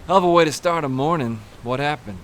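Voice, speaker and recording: A man acting a gruff, no-nonsense city police officer in a radio play. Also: a little high, fast, noisy background, lightly acted. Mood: suspicious, businesslike.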